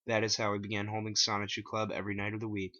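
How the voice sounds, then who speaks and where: A man narrates his own first-person story in a dramatic reading. His voice is bright and forceful, recorded dry and slightly dull. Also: fairly low pitch, completely flat delivery, racing, no room sound.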